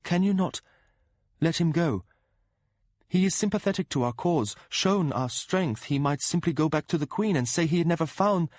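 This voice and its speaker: A man's voice: fairly high pitch, animated, normal speed, darkish tone, no echo, voice pushed up. A man voicing an anxious, devoted young prince who pleads nervously in an audiobook reading. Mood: anxious pleading.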